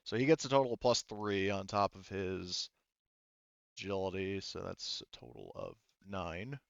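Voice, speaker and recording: A man running a tabletop game casually as game master, thinking aloud haltingly as he works through rules and rolls. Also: low-pitched, expressive, vocal fry, no echo, muffled recording. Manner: halting, thinking aloud while rolling dice.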